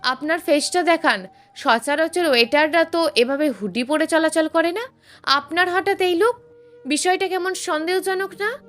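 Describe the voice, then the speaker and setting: A woman's voice: very high voice, measured pace, faint music behind, pitched above the performer's own voice. A woman voicing a confident, teasing young man, the romantic lead of an audio story. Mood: suspicious.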